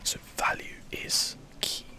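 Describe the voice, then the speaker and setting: Casting: game streamer for ASMR, fully whispered, bright and airy, casually thinking through each move. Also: slow. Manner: whispering throughout, breathy and unvoiced. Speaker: gaming video host. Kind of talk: whispered ASMR gameplay commentary.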